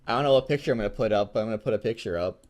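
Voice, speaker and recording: A man co-hosting a fan fiction reading show, chatting casually and jokingly in a rambling, halting way with some stammering. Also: on the low side, darkish tone.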